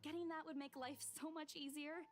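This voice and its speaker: An actress playing a stubborn, playful young realtor short of money in a visual novel game, speaking in an animated, defensive way. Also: female voice, high voice, moderate pace, soft background music, lightly acted. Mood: eager, determined.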